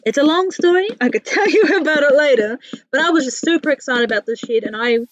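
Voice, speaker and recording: A woman's voice: high-pitched, animated, fast, no room sound, lo-fi sound. A woman with a New Zealand accent giving a casual, chatty voice-over for a gaming build vlog, candid and self-deprecating. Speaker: video creator, narrator.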